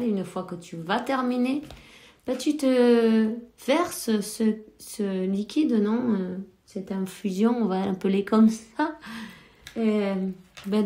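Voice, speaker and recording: A woman's voice: medium pitch, dark tone, reverberant. A woman with a cold giving casual spiritual advice as a card reader, hesitant and full of fillers. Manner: slow, hesitant, many fillers. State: has a cold.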